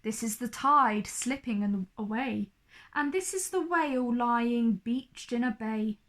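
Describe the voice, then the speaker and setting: A woman reading a rhyming picture book aloud to children in an expressive storytelling style. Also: on the high side, measured pace.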